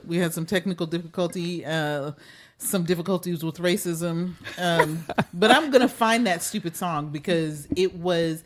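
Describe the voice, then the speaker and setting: A woman in her forties hosting a casual podcast, loud and playful, often breaking into laughter. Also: on the low side, moderate pace, a little hiss or hum. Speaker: podcast host. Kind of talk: casual chat.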